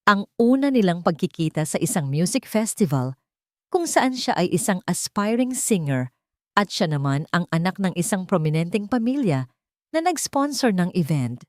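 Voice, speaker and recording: Low-pitched woman narrating romantic drama. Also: big pitch swings, moderate pace, no room sound, clean studio sound. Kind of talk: scripted dramatic love-story narration.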